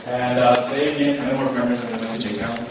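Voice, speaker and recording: A young man tells his story with energy, acting out the lines and laughing along. He is far from the mic in an echoey hall, under loud background noise. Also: medium pitch, fast talker. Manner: lively storytelling, acts out dialogue with laughs. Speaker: conference attendee giving testimony. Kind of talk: personal testimony to an audience.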